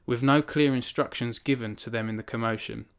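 A man's voice: mid-range voice, steady tone, brisk, clear, resonant tone. A man in his thirties, an author hosting a live stream from a small home room into a studio microphone, reading from his novel and then chatting earnestly with viewers, with frequent restarts. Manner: earnest and self-conscious, with frequent restarts and fillers when chatting. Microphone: studio microphone on a stand in front of him.

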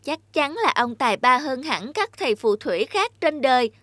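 A woman's voice: high voice, big pitch swings, moderate pace, pushed and bright, no echo, full character voice. A woman voicing a clever, cunning male cat in a cartoon fairy tale, eloquent and courtly in manner. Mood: sly, flattering.

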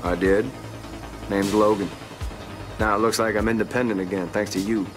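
Man playing a low-voiced, cool smuggler. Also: fairly even intonation, normal speed, over music, natural voice. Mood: businesslike. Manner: matter-of-fact, controlled. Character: cool, businesslike male smuggler henchman. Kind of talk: video game cutscene acting.

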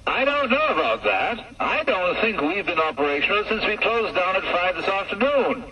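A man's voice: high-pitched, big pitch swings, normal speed, sharp tone, slightly rough, voice pushed up, full character voice. A man voicing curt male RAF officers heard over a telephone line in a radio story, speaking in a clipped, officious way. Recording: muffled distant phone-line sound.

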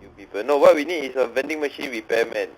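A man's voice: mid-range voice, lively intonation, fast talker, slight background noise, poor audio. Joking Singaporean guy on game chat. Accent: Singaporean English. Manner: joking, plays mock boss and job interviewer. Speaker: gamer in team voice chat.

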